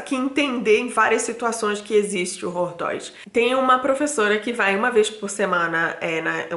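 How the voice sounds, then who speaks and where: A woman's voice: medium pitch, fairly bright tone. A woman in her thirties from Brazil, talking casually to camera at home, with lots of fillers and restarts. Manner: chatty, many fillers and restarts. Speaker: vlogger. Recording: echoey room.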